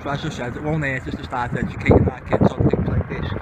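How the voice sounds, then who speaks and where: A man's voice: medium pitch, little pitch movement, fast. A man giving casual, rambling, conspiratorial commentary as an amateur video host. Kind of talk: casual off-the-cuff vlog commentary. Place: outdoors in the city. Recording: very noisy outdoor recording, wind, traffic. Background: loud wind and vehicle noise.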